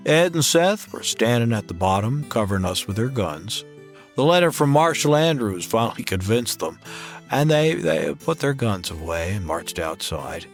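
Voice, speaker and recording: A man playing a soft-spoken gravelly Western drifter. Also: on the low side, sing-song, soft background music. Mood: narrating. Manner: folksy, halting drawl. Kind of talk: comedy audio drama acting and narration.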